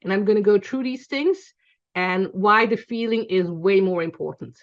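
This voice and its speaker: A woman's voice: medium pitch, moderate pace, muffled recording. A woman in her forties from Belgium, with a Belgian accent, teaching an online class in a chatty way with frequent ums and self-corrections. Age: 40s. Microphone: video call.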